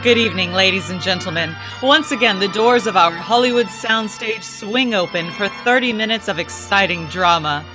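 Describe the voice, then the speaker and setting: A woman's voice: medium pitch, moderate pace, hardly any pauses, music in the background, natural voice, low-quality recording. A woman playing a formal, grand announcer on a 1950s radio drama show.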